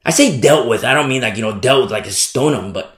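A man preaching a sermon in an animated, repetitive style, acting out the people he criticizes. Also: mid-range voice, fast. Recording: echoey room sound.

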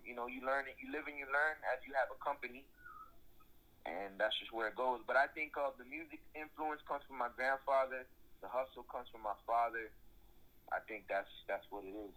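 A man's voice: mid-range voice, moderate pace, fairly bright tone, rough recording. A young man from South Florida, a music executive, chatting casually in an interview and rambling with lots of fillers. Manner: laid-back, rambling with many fillers.

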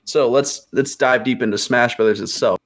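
A man's voice: medium pitch, normal speed. Laid-back slangy man in his twenties. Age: 20s. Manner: laid-back slangy banter, says bro a lot. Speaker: podcast guest. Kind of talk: casual chat.

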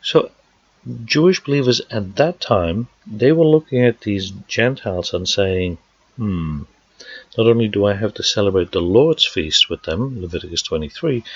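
A man from the Netherlands with a Dutch accent, preaching a sermon and working through scripture verse by verse, pausing with fillers as he explains. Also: on the low side, slightly rough, no echo. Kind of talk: sermon teaching. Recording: dry, dull lo-fi sound.

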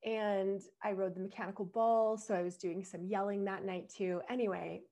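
Slightly husky woman podcast host. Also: mid-range voice, fairly even intonation, normal speed, dark tone. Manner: reflective and explanatory, with small laughs. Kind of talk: solo podcast talk.

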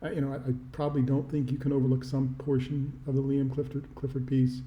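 A man's voice: mid-range voice, little pitch movement, fast talker, dull audio. A man co-hosting a sports podcast, giving casual commentary in an echoey, roomy recording. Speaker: podcast co-host.

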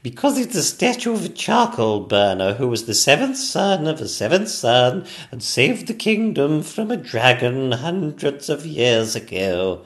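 A man voicing a cross, haughty Queen in a comic storybook tale, speaking in slow, emphatic pronouncements. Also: mid-range voice, big pitch swings, pitched above the performer's own voice.

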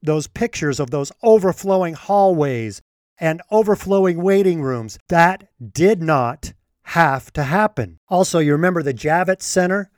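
A man hosts his podcast alone, his voice a little congested and his throat needing clearing now and then. He talks earnestly and with indignation, his pitch sitting a touch above the usual for a man. The sound is dry and close, with no room around it. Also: expressive, a little slow, no room sound. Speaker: podcast host. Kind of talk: solo podcast commentary.

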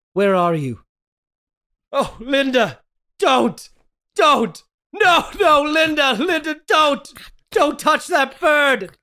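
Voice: high-pitched, bright, forceful tone, heavily acted. An actor playing a tormented, secretive husband in an old-time radio drama table read, pleading and melodramatic. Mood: anguished.

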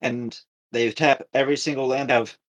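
A man co-hosting a podcast, telling a story casually with halting, repetitive, circling phrasing. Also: medium pitch, steady tone, a bit bright. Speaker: podcast co-host.

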